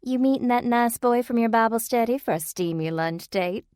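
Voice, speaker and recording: A coquettish office secretary who teases with a lazy drawl, as a woman puts her on. She sits higher than the narrator's voice, and the sound is dry and close. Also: moderate pace, darkish tone, smooth, no room sound, natural voice. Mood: teasing.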